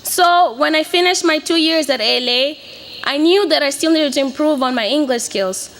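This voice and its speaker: A woman's voice: sits high, moderate pace, a bit bright. A young woman in her twenties from Mozambique, whose first language is Portuguese, giving an earnest prepared speech to an audience. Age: 20s. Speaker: student speaker.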